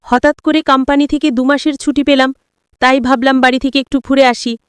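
A teasing, easily irritated young husband in an audio story, voiced by a woman narrator. The delivery is smooth and a little high, full of challenging questions. It sounds close and dry. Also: level tone, fairly quick, no room sound, lightly acted. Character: teasing, touchy young husband back from working abroad. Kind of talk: acted dialogue in an audio story.

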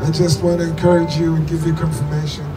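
A man with a high voice preaches earnestly through an outdoor loudspeaker, heard from far off across a square. Music plays behind him. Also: flat delivery. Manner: earnest, exhorting, pauses for interpreter. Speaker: street preacher. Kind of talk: outdoor street preaching in English.

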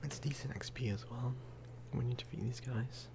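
A man giving casual, rambling ASMR game commentary, speaking softly and often slipping into whispers. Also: mid-range voice, steady tone, normal speed, creaky, background noise. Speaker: gaming video host.

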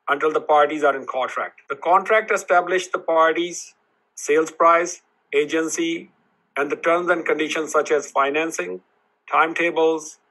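Bright-voiced man reading a business explainer. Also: fairly high pitch, poor audio. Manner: reads steadily through lists, formal and instructive. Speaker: business broker. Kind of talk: scripted explainer video. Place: home office with bookshelves, indoors.

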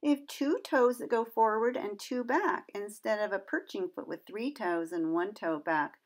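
A middle-aged woman narrates an educational piece for young children. She has a medium-pitched voice and explains things in simple, clear wording. Now and then she puts a question to her young listeners. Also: normal speed. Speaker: nature educator and presenter.

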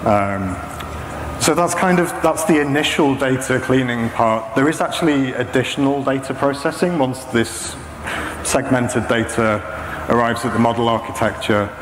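A man in his thirties presenting a technical talk, explaining step by step in a measured, hesitant way. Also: mid-range voice. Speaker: conference speaker. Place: indoor talk stage with projection screen. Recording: echoey hall PA with clear background noise.

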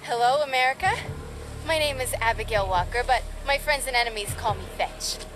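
A woman's voice: fairly high pitch, fairly quick, fairly bright tone, natural voice, low-quality recording. Woman as a brash young punk vigilante. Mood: defiant. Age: young adult. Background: cinematic music.

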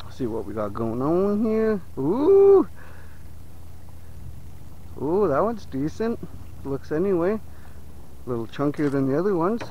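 A man vlogging from a small boat on a lake, chatting casually to viewers and getting excited as he fishes. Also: fairly high pitch, takes it slowly, pauses often, lo-fi sound, slight background noise. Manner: excitable, talks to viewers as "you guys". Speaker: fishing vlogger. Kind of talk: casual on-water fishing commentary.

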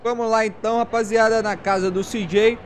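A man's voice: high voice, steady tone, a little fast, faint music behind. A Brazilian man in his twenties doing casual, slangy gameplay commentary. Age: 20s. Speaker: gaming YouTuber.

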